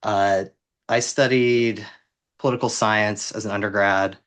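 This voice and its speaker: Man in his thirties, podcast guest, low-ish voice, wry self-deprecating humor. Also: fairly even intonation, a little slow, fairly bright tone. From Vancouver, Washington, US. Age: 30s. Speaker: interview guest, city housing worker. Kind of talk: conversational interview.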